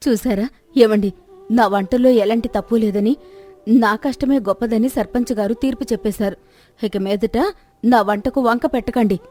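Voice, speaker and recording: A woman voicing a village wife who scolds and argues quickly in a fairly high voice. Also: warm tone, close to an everyday voice. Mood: scolding. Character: sharp-tongued village wife, defending her cooking.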